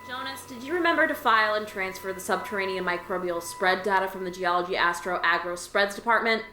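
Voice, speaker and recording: A woman's voice: medium pitch, fast talker, bright, forceful tone, slight background noise, natural voice. A woman voicing a jaded female alien office worker who talks about dull work in a dry, resigned, matter-of-fact way. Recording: roomy echo.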